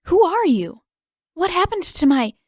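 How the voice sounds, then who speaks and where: A high-pitched, indignant teenage girl in an audiobook, acted by a woman. She protests in urgent questions, frightened but defiant, in a close, dry recording. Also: sing-song, measured pace, no echo, exaggerated voice. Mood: alarmed, indignant.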